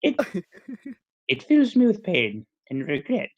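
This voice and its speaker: A man's voice: high-pitched, wildly swooping pitch, slow pace, lo-fi sound, full character voice. A man voicing a cartoon Italian plumber who delivers a theatrical, solemn, sing-song mock apology. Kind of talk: mock YouTuber apology video improv.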